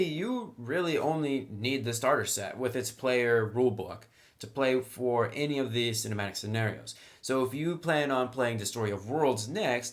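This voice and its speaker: A man in a mid-pitched voice talks through a tabletop game review. He is casual and enthusiastic, restarting sentences and leaning on "really" and "actually.". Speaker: product reviewer.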